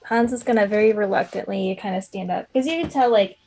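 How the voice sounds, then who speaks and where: A woman's voice: medium pitch, brisk, gravelly, darkish tone. A woman playing a tabletop roleplaying game, casually describing what her character does, a little away from the microphone.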